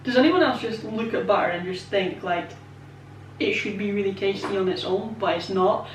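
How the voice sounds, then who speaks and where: A woman's voice: mid-range voice, normal speed, recorded from a distance, a little hiss or hum. A young Scottish woman in her twenties chatting casually in a home kitchen as she bakes, rambling and self-deprecating with lots of ums and likes. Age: 20s. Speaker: vlogger. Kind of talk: casual baking vlog chat. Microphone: camera set up away from her. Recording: echoey kitchen, voice from off-mic.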